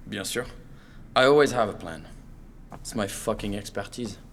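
An actor playing a controlling, fussy fiancé, smug and coaxing in an audio drama. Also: male voice, on the low side, lively intonation, moderate pace, slight background noise. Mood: smug, proud. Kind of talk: naturalistic audio drama acting.

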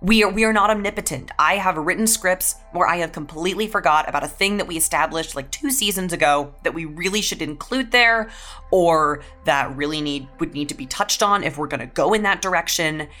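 Female podcast guest, writer, low register, conversational and emphatic. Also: a little fast, pushed and bright, faint music behind. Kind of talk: casual panel discussion.

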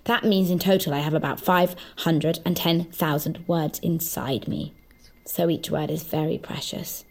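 A woman acting an earnest, naive, literal-minded companion in an audio drama, speaking in a simple, guileless, deliberate way. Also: low-pitched, fairly even intonation, vocal fry, full character voice. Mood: earnest, wondering. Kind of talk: naturalistic audio fiction acting.

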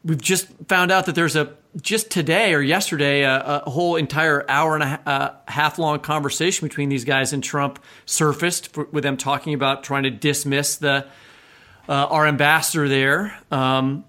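A man's voice: fairly high pitch, fairly even intonation, normal speed, bright, forceful tone. Wry man co-hosting a podcast. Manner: wry, teasing, colloquial. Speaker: podcast co-host and novelist. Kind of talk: casual podcast banter and introductions.

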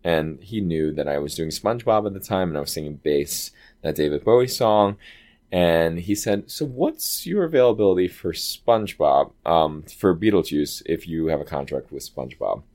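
A man with a low voice, an actor telling stories in a casual interview and acting out quoted lines. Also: normal speed. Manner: animated, chatty, acts out quoted dialogue. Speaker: Broadway actor guest.

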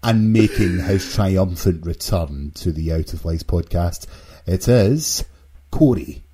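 A man's voice: low-pitched, expressive, slow pace, mellow, breathy edge. A British man hosting a casual video game podcast, sometimes stammering and repeating his words. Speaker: podcast host.

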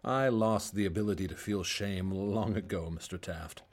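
A man's voice: low voice, normal speed, slight background noise, close to an everyday voice. An actor playing a haunted doctor who narrates his grim war past in a grave, weary, confessional way. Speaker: narrator and surgeon. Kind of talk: radio drama narration. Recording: radio play with music bed.

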